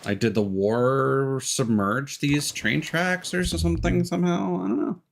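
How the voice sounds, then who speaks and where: A man's voice: on the high side, measured pace, vocal fry, a little hiss or hum. A man giving casual, informal commentary on a gaming stream, with some swearing. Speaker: live streamer.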